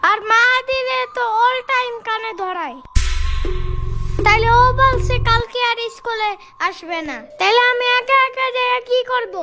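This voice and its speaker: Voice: very high voice, a little slow. A performer voicing a cheeky little cartoon kindergarten boy who talks back, in a childlike way with a Bangladeshi accent. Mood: cheeky, whining. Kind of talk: comic cartoon dialogue. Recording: loud music behind the voice.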